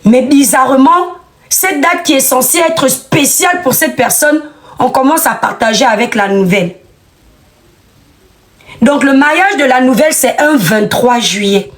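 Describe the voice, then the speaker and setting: A woman's voice: high-pitched, unhurried. A woman giving an outspoken commentary monologue, emphatic and scolding, repeating phrases for effect.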